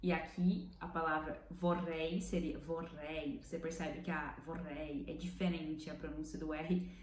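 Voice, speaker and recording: A Brazilian woman with a Brazilian Portuguese accent teaches in a relaxed, explanatory way, pausing on fillers and stretching out each example slowly. Her voice sits on the low side for a woman. The room is echoey, with a faint hum of noise underneath. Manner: patient, thinks aloud with fillers, slows to spell out Italian examples. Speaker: language learning vlogger.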